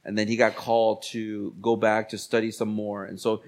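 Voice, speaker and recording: A man's voice: lowish voice, little pitch movement, moderate pace. Colloquial male pastor preaching. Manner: colloquial, exhorting, repeats phrases for emphasis. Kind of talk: sermon.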